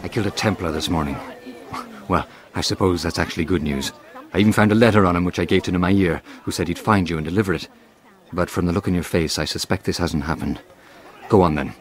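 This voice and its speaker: An actor playing a brisk, matter-of-fact male assassin comrade in a video game, chatty and dry. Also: deep voice, lightly acted. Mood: offhand, brisk. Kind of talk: video game cutscene acting.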